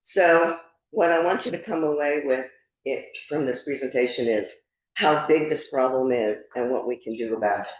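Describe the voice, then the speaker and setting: A woman from Connecticut with a soft voice, giving an earnest, measured talk as a guest speaker. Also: very deep voice, low-quality recording. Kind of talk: presentation.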